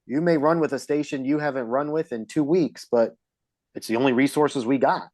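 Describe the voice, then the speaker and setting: A man's voice: mid-range voice, brisk, a little mellow, no room sound. Man, close dry sound, punchy phrasing. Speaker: podcast panelist on emergency dispatch. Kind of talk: casual shop talk.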